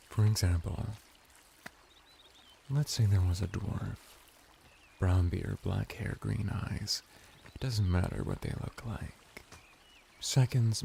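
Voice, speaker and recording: Man playing a slow, gravelly, seductive merman. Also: low-pitched, mellow, slight background noise, heavily acted. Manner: slow, intimate, formal wording with lingering pauses. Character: flirty, courteous, seductive merman bath attendant. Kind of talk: ASMR roleplay.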